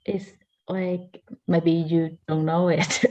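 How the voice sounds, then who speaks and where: Slow mellow woman on dull call audio. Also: lowish voice, flat delivery. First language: Taoi. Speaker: participant. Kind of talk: casual online group chat.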